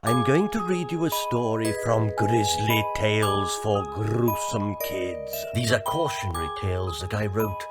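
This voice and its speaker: A man reading his own children's story as an expressive, theatrical narrator with deliberate phrasing. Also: on the low side, over loud music.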